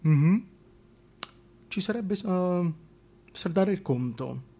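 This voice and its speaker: A man's voice: high voice, expressive, drawn-out words, warm tone, full character voice. A man playing a gossipy, wistful former mistress of a king in a solo radio play, chatty and confiding. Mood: confiding, nostalgic. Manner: chatty, confiding, colloquial, hesitant. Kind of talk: solo radio theatre monologue.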